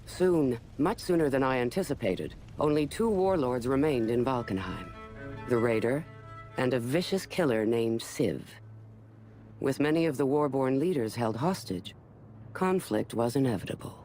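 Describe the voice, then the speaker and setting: An actor voicing a solemn war chronicler, giving portentous scripted narration for a video game. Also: male voice, medium pitch, lively intonation, normal speed, a little mellow, faint music behind, natural voice.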